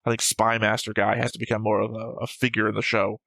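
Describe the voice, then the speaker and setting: A man's voice: lowish voice, monotone, fast talker, creaky, fairly bright tone, dry recording. A man who hosts a reaction show, talking casually and analytically, explaining and arguing his points. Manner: argumentative, explanatory. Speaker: host of an anime reaction show.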